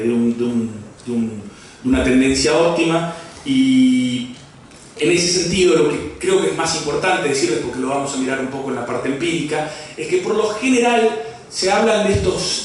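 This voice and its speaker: Male lecturer, halting delivery with restarts and jokes, recorded from far off in a big reverberant room. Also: on the high side. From Brazil. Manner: halting, many fillers and restarts, asides and jokes. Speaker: economics lecturer. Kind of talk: academic talk. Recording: echoey hall from far off, faint noise.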